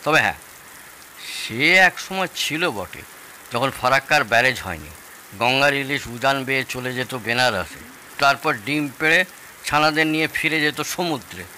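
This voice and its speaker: A genial older father-in-law in a radio play. His voice is very bright and forceful, with a teasing, storytelling manner. There is faint noise behind him. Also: medium pitch, expressive, normal speed, low-quality recording. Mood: teasing, assured. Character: genial older father-in-law, proud champion of Padma hilsa. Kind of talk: Bengali audio drama dialogue.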